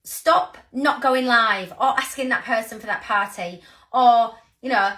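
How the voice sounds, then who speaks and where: A woman in her thirties with a croaky voice, giving an earnest, rambling motivational talk to her team. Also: a little high, a little slow, sharp tone. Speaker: sales team leader.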